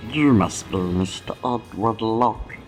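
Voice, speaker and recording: An actor playing a folksy, self-assured space station boss with a gravelly, commanding delivery in a noir video game. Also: male voice, lowish voice, takes it slowly, faint music behind. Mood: smug, businesslike. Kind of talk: noir point-and-click game acting.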